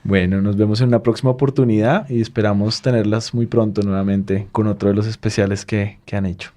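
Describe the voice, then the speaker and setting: A man's voice: on the low side, steady tone, brisk, dark tone. Co-host, male, easy teasing tone. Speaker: radio co-host. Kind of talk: casual radio chat.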